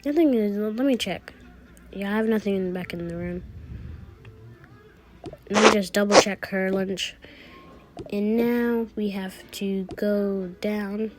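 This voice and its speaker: A woman's voice: mid-range voice, a little slow, long pauses, heavy background noise. A woman casually improvising narration for a toy-app roleplay video, thinking aloud through each small action. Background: music.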